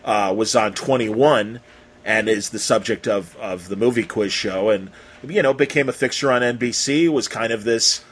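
A man's voice: lowish voice, moderate pace, a bit bright, husky. An American man hosting a casual interview, talking in a rambling, effusive way. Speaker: podcast interviewer.

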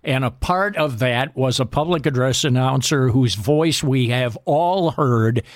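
A man hosting a sports radio show in a measured announcer's manner, moving between chat and scripted introductions. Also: medium pitch, no echo, studio quality.

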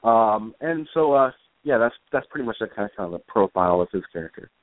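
A man giving casual, rambling interview answers as a guest author, speaking in a hesitant, halting way. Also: lowish voice, expressive. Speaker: guest, comic book author. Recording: roomy, phone-like internet radio call.

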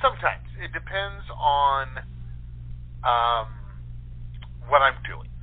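A man speaking as an interview guest over a phone-like line, casual and agreeable, choosing his words thoughtfully and haltingly. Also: medium pitch, lively intonation. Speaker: interview guest, playwright and director.

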